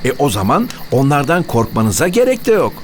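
A man voicing a gentle, patient father in a children's cartoon, reassuring and explaining. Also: a little high, huge pitch swings, brisk, very noisy.

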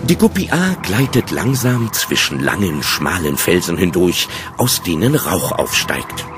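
Deep, animated male storyteller. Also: measured pace, over music. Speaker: story narrator. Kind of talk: scripted narration for a children's audio drama.

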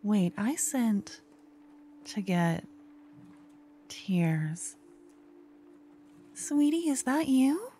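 Girl doing a jealous witch gf asmr thing, slow n breathy, half whispered, dramatic, some noise behind it. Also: a little high, very animated, mellow, heavily acted. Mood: sweet, distracted. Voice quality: breathy, often dropping to a whisper. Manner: dramatic, swinging from cooing to venting. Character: jealous young witch girlfriend, doting yet vengeful. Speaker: ASMR roleplay performer. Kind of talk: ASMR roleplay, intimate and breathy. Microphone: close ASMR microphone.

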